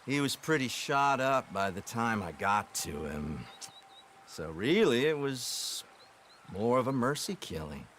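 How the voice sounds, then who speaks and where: An actor playing a cold, menacing government lawman who speaks in a crisp, clipped, coldly polite way. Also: medium pitch, very animated, measured pace, fairly bright tone, slight background noise. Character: cold, menacing male lawman, polished and contemptuous. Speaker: government agent. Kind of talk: video game cutscene acting.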